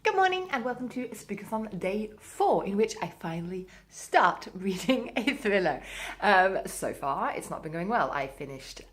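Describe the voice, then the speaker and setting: A woman's voice: mid-range voice, sing-song, normal speed. A woman chatting casually as a book vlogger, in an informal, self-deprecating way. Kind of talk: casual chatty reading vlog.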